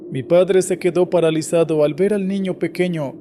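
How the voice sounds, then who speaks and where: A man reading scripted first-person horror stories in a steady, measured way. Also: sits high, slight background noise. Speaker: horror story narrator.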